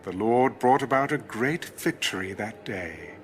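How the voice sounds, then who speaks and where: A man narrating scripture as formal, measured, dramatic storytelling. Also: mid-range voice, slight background noise. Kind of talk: dramatic audio Bible narration. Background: music behind the voice.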